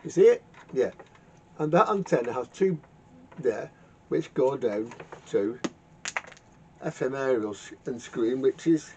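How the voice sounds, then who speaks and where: A man talks through a hands-on repair in a halting, rambling manner, repeating himself as he goes. The recording is dull and lo-fi, with noise behind him. His pitch is an ordinary middle one for a man. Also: darkish tone. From United Kingdom. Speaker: vintage radio repairer and video host. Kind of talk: casual unscripted workshop demonstration. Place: home garage workshop. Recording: dull lo-fi sound with clear background noise.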